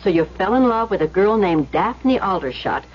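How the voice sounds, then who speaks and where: A woman playing a skeptical young woman in an old-time radio suspense play, expressive and coaxing. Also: medium pitch, moderate pace, husky. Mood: reasoning, soothing. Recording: dull old radio recording with hiss.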